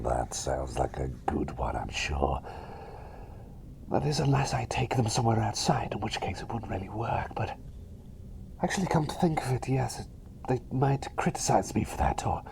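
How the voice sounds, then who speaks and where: A man playing a cheery, self-doubting plague doctor in an ASMR roleplay, whispering breathily and softly, with hesitant, repetitive rambling that seeks approval. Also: mid-range voice, slight background noise, exaggerated voice. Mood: eager, playful. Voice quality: breathy whisper mixed with soft voice. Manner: hesitant, rambling, repeats phrases, eager for approval.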